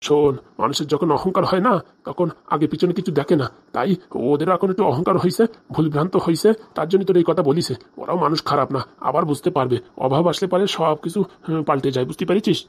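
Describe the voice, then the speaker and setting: Man voicing a high, quick, homely cartoon friend. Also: breathy edge. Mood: consoling. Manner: chatty, reassuring, colloquial. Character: consoling, homely middle-aged village friend, fatherly host. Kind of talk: Bangla comedy cartoon dialogue.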